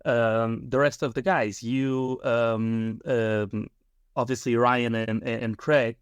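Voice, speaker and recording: A man's voice: mid-range voice, little pitch movement, slow, smooth, no room sound. A man in his twenties co-hosting a podcast, asking casual, chatty questions with many fillers. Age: 20s. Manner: chatty, tangent-prone with fillers. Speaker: podcast co-host. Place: Portugal.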